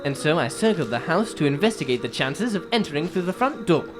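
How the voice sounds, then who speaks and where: A woman plays a shrewd English gentleman detective in a radio drama. The voice is on the low side with very varied intonation, laying out the case in composed, formal sentences. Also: animated, music in the background. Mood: candid, matter-of-fact. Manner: formal, measured storytelling.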